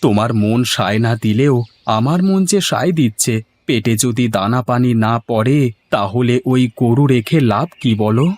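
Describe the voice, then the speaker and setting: A man's voice: medium pitch, normal speed, a little mellow, dry recording, natural voice. A man voicing a poor, caring village farmer in a moral-story cartoon, earnest and plaintive. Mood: resolved.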